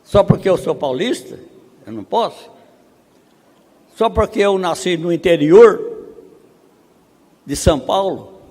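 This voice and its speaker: A man from Brazil speaking at a missionary conference, reading statistics slowly and building to emphatic rhetorical questions. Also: high voice, lively intonation, darkish tone. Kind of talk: conference preaching. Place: seminary auditorium. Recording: long hall reverb.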